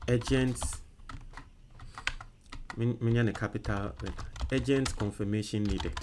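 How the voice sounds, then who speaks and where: A man's voice: mid-range voice, steady tone, background noise. Emphatic Ghanaian man hosting a show. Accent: Ghanaian English. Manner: loud and emphatic when reading comments, quiet and halting while typing. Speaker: social media political show host. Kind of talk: reading out viewer comments and dictating an email.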